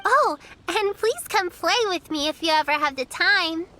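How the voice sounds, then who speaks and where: Tiny, very high-voiced little girl. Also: unhurried, exaggerated voice. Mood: happy, eager. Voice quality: childlike, small. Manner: innocent, excitable, earnest. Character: sweet, eager little girl, earnest young apprentice. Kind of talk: video game voice acting.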